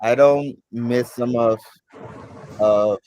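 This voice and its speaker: A man from Suriname, Dutch his first language, talks slowly with a dark, mellow, fairly low voice. He pauses often and fills the gaps with ums. The sound is close and dry. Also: steady tone, no echo. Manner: unhurried, many ums and pauses. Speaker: interviewee. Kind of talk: casual interview answers.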